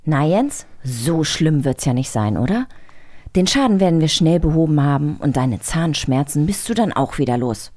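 A reassuring male dentist from a children's radio play, acted by a woman. The voice is dropped low and gently coaxes a young patient. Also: animated, moderate pace. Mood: soothing. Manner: reassuring, coaxing.

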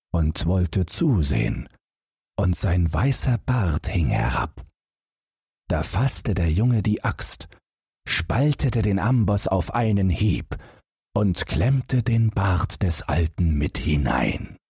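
Casting: male audiobook narrator for fairy tales, low husky voice, unhurried, expressive, close and dry. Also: no room sound. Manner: expressive storyteller. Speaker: audiobook narrator and podcast host. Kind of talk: fairy-tale narration, scripted.

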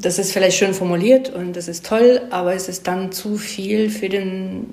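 A woman speaks as an interviewed expert in a casual, explanatory way. Her voice sits at a medium pitch, and she often tacks small check-in questions onto her statements. The recording is roomy, with clear echo around her voice. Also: steady tone, normal speed, darkish tone. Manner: explains with frequent check-in tags. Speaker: screenwriter being interviewed about storytelling craft.

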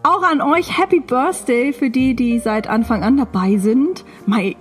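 Higher-pitched woman co-hosting a casual podcast. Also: a little slow, soft background music. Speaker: podcast co-host. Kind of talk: casual banter.

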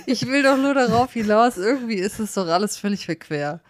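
A woman's voice: fairly high pitch, animated, a little fast, no echo. A woman co-hosting a podcast in casual, conversational discussion. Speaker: podcast co-host.

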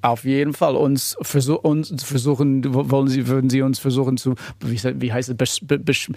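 A man's voice: medium pitch, flat delivery, mellow, no echo. A man from the United States with an American accent, speaking German as a second language, searching for words and restarting often as an interviewee. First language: English. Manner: halting non-native German, searching for words, many restarts. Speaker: human rights investigator. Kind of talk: interview conversation.

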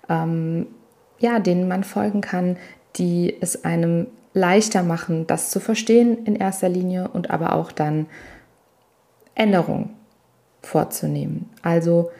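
A woman's voice: on the low side, creaky, warm tone. A woman from Italy working as a coach and podcast host, explaining things instructively and directly to her listeners, with emphasis on key words. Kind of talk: explanatory solo podcast talk.

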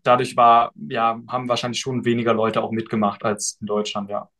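Young man, podcast guest, laid-back, unhurried, lots of ums and hedges. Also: mid-range voice. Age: young adult. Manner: relaxed, thoughtful, many fillers and hedges. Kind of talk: casual podcast interview.

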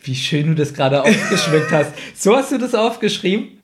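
Lightly high-pitched man, podcast co-host. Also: sing-song, a little fast, slight background noise. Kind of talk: casual chat.

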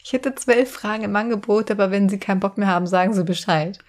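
A woman's voice: medium pitch, fast talker. Giggly, teasing young woman. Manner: giggly, teasing, playfully mock-formal. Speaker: podcast co-host. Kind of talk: casual chat.

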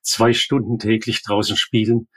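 Husky older man explaining calmly. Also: on the low side, moderate pace. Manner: calm, explanatory, matter-of-fact. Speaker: ophthalmologist guest. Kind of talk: expert interview.

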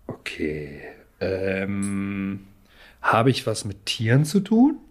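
A man's voice: fairly low pitch, huge pitch swings, drawn-out words. A man playing a guessing game with a friend, thinking aloud with hesitant fillers and laughing. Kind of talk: casual game chat.

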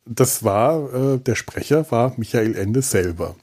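A man speaking casually as a podcast host, thinking aloud in a halting way and repeating words as he searches. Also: mid-range voice, expressive, darkish tone.

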